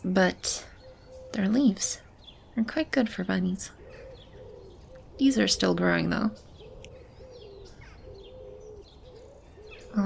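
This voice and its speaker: Woman acting as gentle female animal shelter keeper, ASMR roleplay, lowish pitch, heavily creaky, soft, soothing, nurturing. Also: a little hiss or hum. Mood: tender, amused. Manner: soft, soothing, chuckling, speaking to a child.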